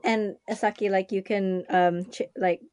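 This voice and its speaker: A woman's voice: medium pitch, fairly even intonation, normal speed, a little mellow. A woman hosting a casual chat, talking loosely with lots of "like" fillers and frequent laughs. Japanese was her first language. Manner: chatty, many "like" fillers, laughs often. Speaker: podcast host.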